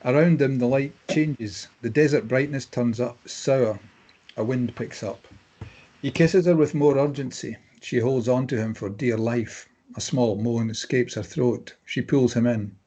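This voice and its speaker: A man's voice: medium pitch, measured pace, warm tone, poor audio. A man reading stage directions as narrator, in scripted dramatic present-tense narration.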